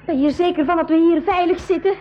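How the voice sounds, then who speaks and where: A very high-pitched young girl in a radio adventure play. She is spirited, anxious and exclamatory. The recording is a slightly dull 1980s broadcast. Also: fast. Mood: uneasy. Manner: excitable, exclamatory. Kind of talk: 1980s school radio drama acting in Dutch.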